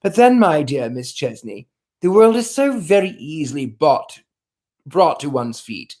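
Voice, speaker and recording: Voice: medium pitch, very animated, measured pace, a little mellow. A reader voicing a worldly, cynical aristocratic prince in a novel read aloud, speaking with composed scorn. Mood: scornful. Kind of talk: audiobook character voice.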